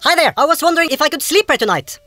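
A polite, tired young traveller in a cartoon fairy tale. The voice is high and bright with a lively range, hesitant and bewildered, recorded dry. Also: racing, dry recording. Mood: puzzled. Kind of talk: comic animated fairy tale.

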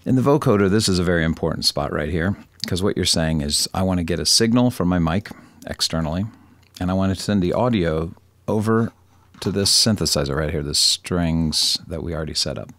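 A man with a very low voice and plenty of vocal fry presents a software tutorial. He talks informally and thinks aloud, poking a little fun at himself. The recording is close and dry. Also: animated, fairly quick, no echo. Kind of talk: casual screen-recorded tutorial.

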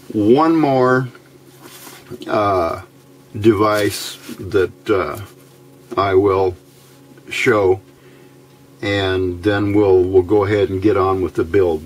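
A man giving a casual technical tutorial in a plain-spoken, methodical way, with hesitant pauses, as an electronics hobbyist at a workbench. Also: on the low side, slight background noise. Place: indoors at a workbench.

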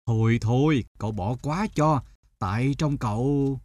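An actor playing a generous but suspicious middle-aged male traveler in an animated folk tale. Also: medium pitch, a little slow, dry recording, natural voice. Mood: apologetic.

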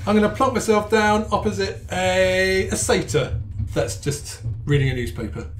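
Playful player, bit higher voice. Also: male voice, animated, slight background noise. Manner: playful, joking, quick to laugh. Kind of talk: playing a tabletop game in character.

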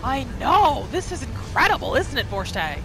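A woman giving excitable playthrough commentary, exclaiming and talking to the game's characters as she roleplays. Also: high voice, moderate pace. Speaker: gaming video host. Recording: game music behind the voice. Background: video game music and sounds.

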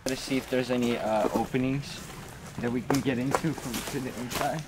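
A man's voice: mid-range voice, fairly even intonation, a little fast, very noisy. A young man chatting casually and profanely as he vlogs outdoors. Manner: slangy, profane. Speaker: vlogger. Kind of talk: casual outdoor vlog chat. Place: outdoors on a trail and around graffitied ruins.

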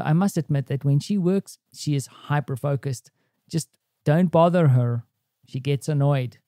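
Mellow man in a casual audio diary. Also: on the high side, no room sound, studio quality. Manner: reflective, conversational. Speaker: podcast host.

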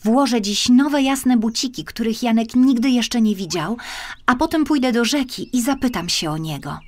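A woman's voice: on the high side, lively intonation, normal speed, voice pushed up. A woman voicing a small, trusting, determined girl in a storybook, earnest and childlike. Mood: earnest, hopeful. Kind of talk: storybook character voice.

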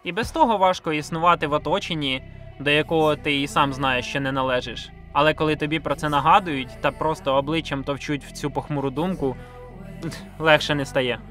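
A man's voice: fairly high pitch, fairly even intonation, brisk, a bit bright. A man from Ukraine narrating a scripted video review in a blunt, sardonic, self-deprecating way, with slang and swearing. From Ukraine, grew up in a village. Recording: music under the voice. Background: background music.

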